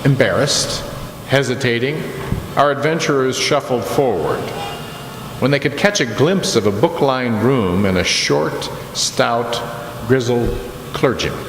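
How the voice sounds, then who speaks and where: A man narrating a radio drama as a storyteller of a mystery tale, deliberate and suspenseful. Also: mid-range voice, lively intonation. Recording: echoey hall with clear background noise.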